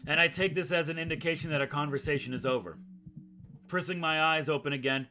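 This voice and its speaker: A man's voice: fairly high pitch, quick pace, close to an everyday voice. A man reading the inner monologue of an anxious, self-loathing schoolboy in a visual novel, gloomy and wordy. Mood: resigned. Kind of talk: visual novel read aloud.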